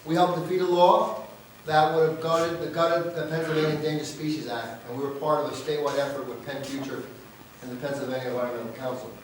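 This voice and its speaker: Hoarse man speaking in an echoey hall. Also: fairly high pitch, level tone, quick pace, a little hiss or hum. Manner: earnest, proud, emphatic. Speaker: organization leader presenting annual results. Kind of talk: annual meeting speech. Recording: very echoey hall, far from mic.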